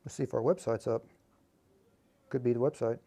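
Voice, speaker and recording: A man's voice: medium pitch, steady tone, a little fast, warm tone, husky. A man presenting a live tutorial as an instructor, explaining casually in a folksy way and talking straight to his viewers. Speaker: livestream host and instructor.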